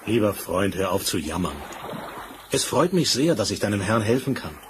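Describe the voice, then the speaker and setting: Low-voiced, stately king. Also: sing-song, moderate pace. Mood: gracious. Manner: stately, benevolent. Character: gracious, commanding fairy-tale king. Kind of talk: 1970s German fairy-tale radio play acting. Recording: noisy vinyl record transfer.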